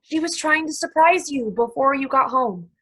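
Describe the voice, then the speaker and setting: A woman's voice: sits high, normal speed, fairly bright tone, low-quality recording. A woman playing a scheming, eager young plotter in a table reading of a stage play. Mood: conspiratorial, tense.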